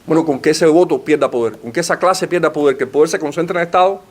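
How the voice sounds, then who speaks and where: A man's voice: a little high, quick pace. Man lecturing with rhetorical flair. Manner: rhetorical, uses questions and lists. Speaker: panelist giving a talk. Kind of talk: formal lecture. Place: library meeting room.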